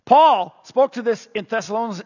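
A man's voice: very high-pitched, animated, normal speed, darkish tone. A middle-aged man preaching a sermon in a conversational way, often putting questions to the congregation. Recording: dull, reverberant hall sound.

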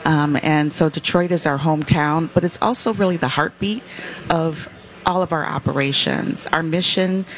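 Guest speaker from West Michigan, mid pitch, measured, explains with lots of uh's. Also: fairly bright tone. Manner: measured, many "uh" fillers while explaining. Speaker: foundation representative, guest. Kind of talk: explanatory interview answers.

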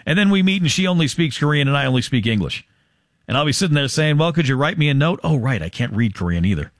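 A wry American man chats as a radio DJ in a slightly high, very animated voice. It is light comic banter, recorded dry. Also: fast, no room sound.

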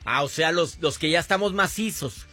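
A man's voice: fairly high pitch, normal speed, pushed and bright, faint music behind. A man in his fifties with a Mexican accent, hosting a radio show and bantering casually and teasingly with callers. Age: 50s. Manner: teasing, playful.

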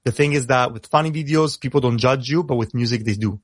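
Man, italian accent, non-native english speaker, casual radio guest, middle pitch, dry studio sound. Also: dry recording. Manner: fast, self-correcting, repeats words when starting thoughts. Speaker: guest rapper.

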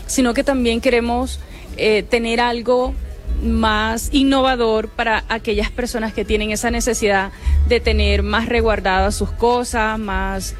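Female artisan guest, Arhuaco native speaker, measured delivery with fillers, faint music. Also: fairly high pitch, steady tone. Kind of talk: radio interview.

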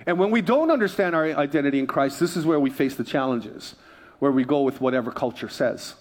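A man's voice: mid-range voice, lively intonation, a little fast. A man from Hawaii preaching a sermon as a pastor, emphatic, repeating phrases and prompting his listeners. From Hawaii, United States.

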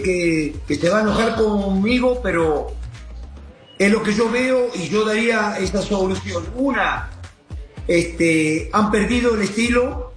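A man's voice: high voice, echoey room. A man talking casually as a guest soccer coach, explaining emphatically in a rambling way and checking that he is followed. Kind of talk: casual interview talk.

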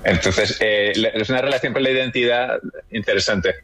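Low-voiced man, reflective guest. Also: moderate pace, a bit bright, no room sound. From Colombia. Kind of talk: casual chat.

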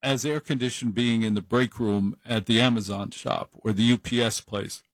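A man's voice: mid-range voice, steady tone, normal speed, no echo. A middle-aged man hosting an overnight call-in radio show, talking in a rambling, chatty, self-deprecating way into a studio microphone. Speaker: late-night radio talk show host. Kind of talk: casual monologue on call-in radio.

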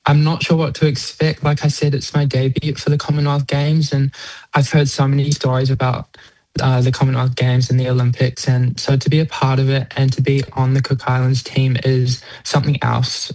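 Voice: medium pitch, darkish tone, no echo, low-quality recording. A young adult athlete in their late teens with a New Zealand accent, answering interview questions conversationally and thoughtfully, with many small fillers. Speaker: competitive swimmer being interviewed.

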